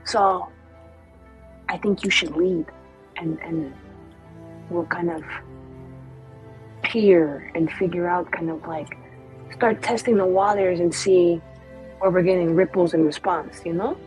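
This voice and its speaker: A woman playing in a tabletop game, soft-spoken and agreeable. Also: fairly low pitch, fairly even intonation, slow pace, long pauses, faint music behind. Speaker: player. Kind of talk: tabletop roleplay chat.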